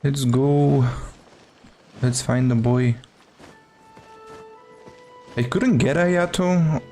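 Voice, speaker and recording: A man with a gravelly edge to his voice talks casually on a game stream. He takes his time, hesitant and muttering to himself, with soft music in the background. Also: mid-range voice, very slow. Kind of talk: casual live stream chat.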